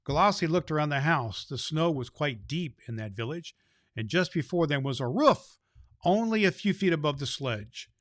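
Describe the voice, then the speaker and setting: A man's voice: a little high, animated, normal speed, a bit bright, dry recording. Man reading a storybook, dry sound. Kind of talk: storybook narration for children.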